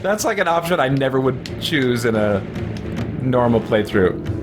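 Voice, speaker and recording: A man's voice: medium pitch, lively intonation, unhurried. A man giving casual gameplay commentary, chattily thinking aloud as he plays. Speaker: let's play host. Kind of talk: casual gameplay commentary with muttered self-talk. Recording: noisy, game sound and music behind voice. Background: video game sound and music.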